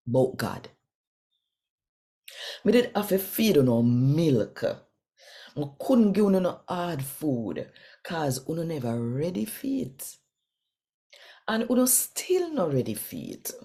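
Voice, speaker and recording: Jamaican woman reading scripture, low and mellow. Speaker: scripture reader. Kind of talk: expressive Bible reading in Jamaican Creole.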